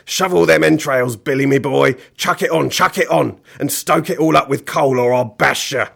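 Guy doing nasty old storybook baddie, loud, bossy, lazy, barks everything. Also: a little high, moderate pace, gravelly, voice pushed up, heavily acted. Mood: bossy, angry. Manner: barking, colloquial menacing speech. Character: fierce, bossy, lazy old villain man.

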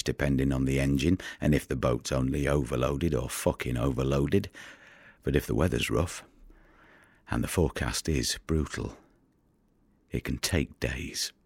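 A man acting a weary, troubled fisherman in an audio drama monologue, gravelly and husky, brooding and halting, with blunt words. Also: extremely low pitch, no echo, clean studio sound, exaggerated voice. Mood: grim, anxious. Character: weary, troubled fisherman rescuing migrants at sea. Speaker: actor in an audio play.

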